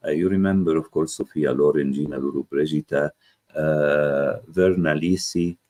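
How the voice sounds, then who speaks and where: Very deep-voiced male interviewer, halting. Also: steady tone, mellow. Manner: halting, many "uh" hesitations. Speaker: interviewer, host. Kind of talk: casual interview conversation.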